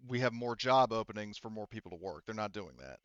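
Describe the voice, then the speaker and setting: A game master with a low, resonant voice talks his players through the game. He rambles and corrects himself as he goes. The man is closely recorded in a dry space. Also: expressive, no room sound, lo-fi sound. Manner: rambling, hedging, halting self-corrections. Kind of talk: casual game narration, explaining to players.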